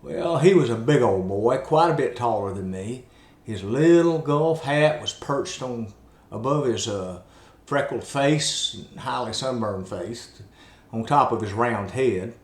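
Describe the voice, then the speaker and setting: An older man from East Tennessee tells his stories in a wry, measured way, with a middle-pitched voice and slightly formal diction. His voice rings in a roomy, echoing space. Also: lively intonation. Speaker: storyteller. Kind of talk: folksy scripted storytelling. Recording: roomy echo.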